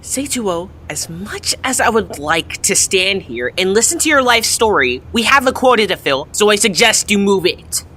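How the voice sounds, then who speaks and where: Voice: mid-range voice, very animated, normal speed, few pauses, pushed and bright, a little hiss or hum. An actor playing a bossy, surly male cartoon creature in an amateur audio drama, curt and sarcastic. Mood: impatient.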